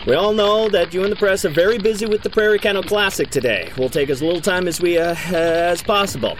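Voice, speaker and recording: An actor playing a harried official addressing the press in a satirical audio drama, hurried, fumbling and informal. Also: male voice, high voice, a little hiss or hum, lightly acted, rough recording. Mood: flustered, placating. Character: harried male official calming a press crowd.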